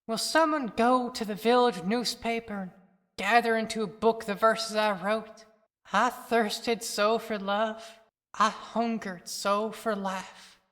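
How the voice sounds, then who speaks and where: A man's voice: high voice, steady tone, slow pace, a bit bright. A man voicing a scorned, lovelorn dead poetess in a plaintive audio-play monologue. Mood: yearning. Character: mocked, lovelorn village poetess, mournful dead young woman. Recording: long cavernous reverb.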